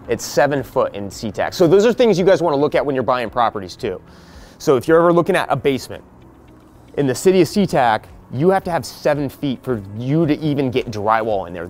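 A man, walking a group through a house he is flipping outdoors on a porch, talking bluntly and practically. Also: medium pitch. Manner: blunt, practical, quoting others in quick asides. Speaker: real estate investor leading a property walkthrough. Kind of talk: casual explaining to a group on site.

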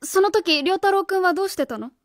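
A woman's voice: extremely high pitch, lively intonation, fast talker, no echo, studio quality. A woman playing a stern, earnest young schoolteacher in a radio drama, formal, polite and probing. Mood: gently probing. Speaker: voice actor.

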